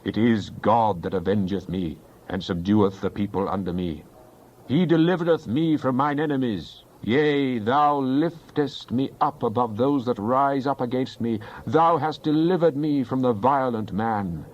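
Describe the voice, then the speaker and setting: A man's voice: medium pitch, measured pace. Solemn man reading scripture, slightly dull audio. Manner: solemn, declamatory delivery. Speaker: scripture reader. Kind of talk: dramatized Bible reading.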